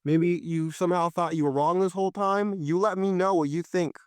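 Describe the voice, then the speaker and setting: Young male podcast host, hoarse and fired up, clear high voice, dry sound. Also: fairly even intonation, a little fast, no room sound. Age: young adult. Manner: impassioned, preachy, addresses listeners directly. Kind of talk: solo political commentary.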